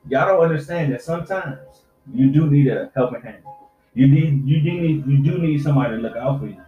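A man's voice: medium pitch, brisk, faint music behind. A man in his forties, a podcast co-host, lecturing younger people with profane life advice in casual chat. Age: 40s. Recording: roomy, dull lo-fi sound.

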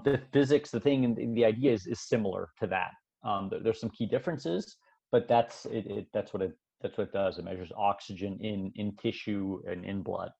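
A man who grew up in Canada, a sports scientist guest on a podcast, explaining his work in a relaxed way full of fillers and restarts. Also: on the low side, vocal fry, slightly rough, muffled recording. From Switzerland, raised in Canada. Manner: relaxed, thinking aloud with many fillers and restarts. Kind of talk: casual interview explaining science.

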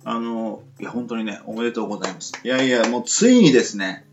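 A woman's voice: a bass voice, quick pace, a little mellow. A woman podcast host chatting casually in a western Japanese accent, with a teasing, bantering manner. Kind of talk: casual two-person radio chat. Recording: muffled band-limited sound over music. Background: music.

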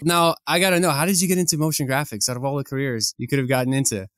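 A man's voice: medium pitch, steady tone, rapid-fire, fairly bright tone, dry recording, studio quality. A man from Ukraine hosting a podcast, introducing his guest and asking interview questions in an upbeat way. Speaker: podcast host.

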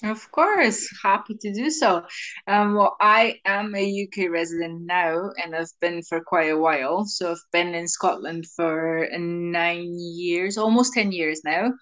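A woman's voice: fairly low pitch, a little slow, a bit bright, dull audio. A woman in her thirties from Slovenia, a native Slovenian speaker, chatting enthusiastically as an interview guest. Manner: enthusiastic, chatty, laughs often. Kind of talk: interview answers.

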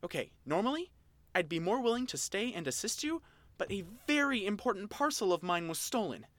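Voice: mid-range voice, very animated, fairly quick, a bit bright, dry recording, pitched above the performer's own voice. A reader voicing a snarling boyish otter creature. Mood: stiff. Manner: snarling, indignant, formal.

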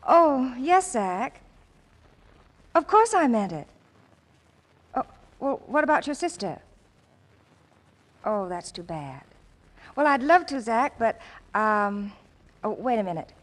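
An actress playing a wistful, hesitant young woman just out of prison, in 1940s radio drama style, soft and halting. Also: on the high side, big pitch swings, full character voice. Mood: shy, eager. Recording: old radio recording, long reverb.

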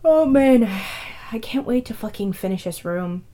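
A woman's voice: a little high, lively intonation, moderate pace, mellow. A woman co-hosting a podcast in casual chat, reacting with short interjections and swearing freely. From born and raised where the show is made. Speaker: podcast co-host.

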